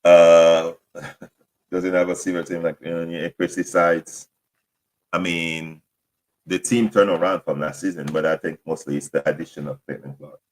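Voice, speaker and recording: A man with a very low voice hosts a casual solo podcast. English is his second language after French and Haitian Creole. He rambles, restarts and apologizes for his mistakes. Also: flat delivery, moderate pace, low-quality recording. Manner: rambling, self-correcting, apologetic. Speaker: podcast host. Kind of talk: casual solo sports talk.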